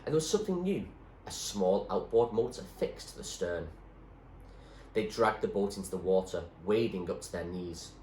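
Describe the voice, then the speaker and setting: A man narrates a storybook expressively in a fairly low voice. His delivery moves widely up and down. The room is echoey, with faint background noise. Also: lively intonation, moderate pace, darkish tone. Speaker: teacher reading aloud.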